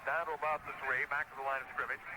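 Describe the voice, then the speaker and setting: A man's voice: mid-range voice, level tone, quick pace. An American man calling live football play-by-play on the radio, with clipped, descriptive delivery that follows the action. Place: stadium broadcast position. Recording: noisy 1970s radio broadcast recording.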